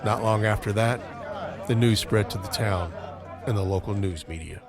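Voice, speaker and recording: A man narrating a scripted crime-horror audio drama in a measured, deliberate storytelling manner. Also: on the low side, crowd noise.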